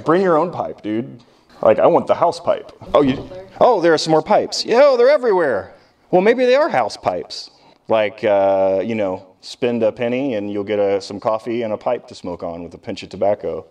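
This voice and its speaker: A man's voice: mid-range voice, darkish tone. An American man giving an informal history lecture as a professor, conversational and often putting questions to his class.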